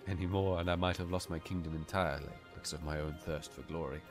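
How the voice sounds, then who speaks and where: Guy doing a stately weary king, deep voice, audiobook drama with music under. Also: steady tone, fast. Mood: solemn, grateful. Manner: formal, stately. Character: weary, solemn, grateful warrior king.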